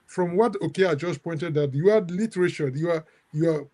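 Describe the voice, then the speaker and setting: A man moderating a book discussion in an academic way, halting and repeating words as he builds his point. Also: fairly high pitch, mellow.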